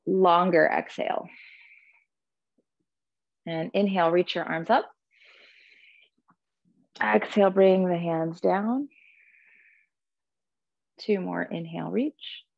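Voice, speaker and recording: Low, creaky-voiced woman guiding yoga. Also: no room sound, lo-fi sound. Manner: soothing, gentle, unhurried instruction. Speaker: yoga and meditation teacher. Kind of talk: guided yoga class with breathing cues.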